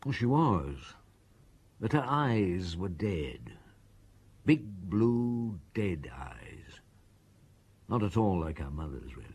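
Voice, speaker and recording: An actor playing a measured, probing police chief inspector in a radio drama, dry and reserved, asking terse questions. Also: male voice, sits low, big pitch swings, darkish tone, husky, heavily acted. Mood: reflective. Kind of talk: radio drama dialogue and narration.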